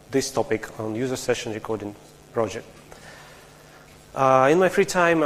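A man's voice: medium pitch, a little hiss or hum. Man speaking in a lecture hall. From Russia. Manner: explanatory, halting with restarts, questions the audience. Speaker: conference speaker. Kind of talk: technical conference talk.

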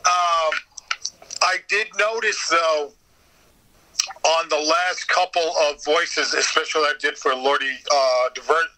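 A man giving a casual interview as a guest, telling stories in an animated way with mild swearing and quoted exchanges. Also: fairly high pitch, a little slow, rough recording. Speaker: podcast guest, voice actor.

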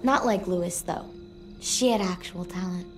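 A woman's voice: on the low side, moderate pace, faint music behind, lightly acted. An actress playing a wistful, awkward but tough teenage girl survivor in a video game, with a hesitant, slangy, casual delivery. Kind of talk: video game drama dialogue.

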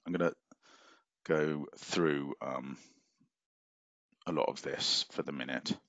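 A man's voice: extremely low pitch, steady tone, slow, a bit bright, dry recording. A middle-aged man giving a recorded university lecture from his office over a webcam, rambling with many fillers and restarts. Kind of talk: recorded lecture walking through a syllabus. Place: office at a desk, screen-sharing.

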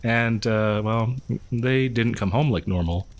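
A man's voice: fairly low pitch, measured pace, natural voice. A man playing a friendly, awkward young local who shares grim rumors in a hesitant, rambling, conversational way for an audio horror roleplay. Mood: casual, gossipy. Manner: hesitant, rambling, nervous chuckles.

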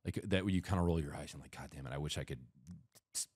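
A man's voice: a bass voice, fast, darkish tone, slightly rough, no echo. A man in his thirties, co-hosting a podcast in a studio, laid-back and swearing casually. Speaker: podcast co-host. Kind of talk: casual chat. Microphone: headphones and boom mic.

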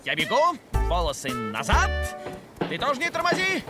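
A woman's voice: on the high side, huge pitch swings, normal speed. A woman voicing a cocky, boastful, bossy little boy monster in a children's cartoon, with a swaggering, exclaiming delivery. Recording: music behind the voice.

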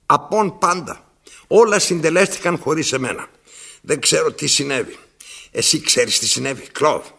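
An actor playing a domineering, needy old master in a theatrical radio drama, with a rasping voice and halting, repetitive delivery. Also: male voice, on the high side, bright, forceful tone, exaggerated voice. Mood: mournful. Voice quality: rasping, gravelly. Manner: halting, repeats words, dramatic pauses.

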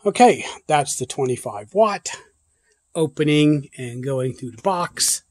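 A man's voice: on the high side, expressive, slow, darkish tone, dry recording, studio quality. A man hosting a prepper channel, talking casually off camera as he unboxes gear on a porch and thinks aloud with loose restarts. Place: porch and yard, outdoors.

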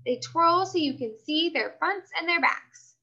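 Woman as a shrill, vain little rich girl. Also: animated, a little slow, highs cut off, heavily acted. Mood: showing off. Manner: loud, showy, theatrical.

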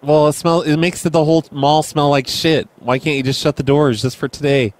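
A man doing prank phone calls as a mall Santa, in a deadpan, crude, mock-serious way. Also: a little high, a little fast. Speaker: prank call host.

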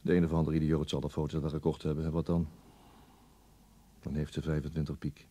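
An actor playing a weary, brooding police detective in a radio play monologue, deliberate and resigned, with a husky, gravelly voice. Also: male voice, rumbling low, level tone, dark tone. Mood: resigned, bitter.

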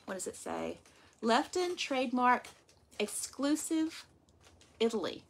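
A woman's voice: on the high side, big pitch swings, slow, breathy edge. A woman, chatting to viewers as she unboxes thrift finds in an indoor workroom. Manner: chatty, addresses viewers as "y'all". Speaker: vlog host. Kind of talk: casual haul unboxing.

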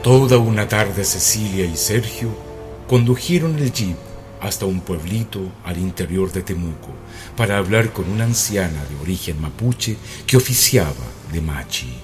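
A man's voice: low voice, lively intonation, normal speed, music in the background. A man, narrating an eerie rural tale as the scripted storyteller of a radio drama. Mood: storytelling.

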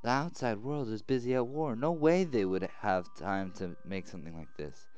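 A man voicing a curious, earnest, sweet anime girl in a high-pitched, girlish, wondering voice. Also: animated, moderate pace, darkish tone, clear, resonant tone, muffled recording, natural voice. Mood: wistful. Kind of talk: visual novel character read-aloud.

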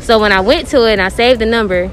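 A woman's voice: a little high, quick pace, a bit bright. A young woman from Columbia, South Carolina, telling a story in a casual, confiding way with slang. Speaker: interviewee. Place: indoor clothing store. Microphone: interviewer's handheld phone. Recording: background music under voices.

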